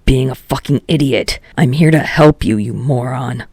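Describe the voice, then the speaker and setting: A man playing a brash, foul-mouthed, short-tempered teenage boy in a fan fiction audiobook, with a gruff, blunt, growling delivery. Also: mid-range voice, normal speed, darkish tone, no echo, close to an everyday voice. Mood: blunt, insistent. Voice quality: gravelly, growling.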